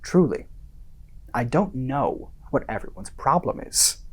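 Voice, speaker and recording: This is an actor playing a smug content creator in a radio drama monologue, preaching success with theatrical condescension. The pitch sits in a middle register but swings dramatically. The pace is slow, with deliberate, self-satisfied pauses. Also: animated, breathy edge. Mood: self-satisfied, preachy.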